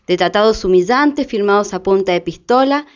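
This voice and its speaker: A woman's voice: medium pitch, lively intonation, moderate pace, lightly acted. A woman reading scripted narration for a documentary radio drama. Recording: dull lo-fi audio.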